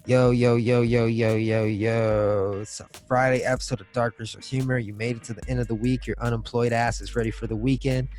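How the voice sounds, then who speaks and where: A man's voice: medium pitch, level tone, brisk, hardly any pauses, darkish tone, soft background music. A man co-hosting a podcast in a casual, comedic chat, talking in profane slang. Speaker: podcast co-host.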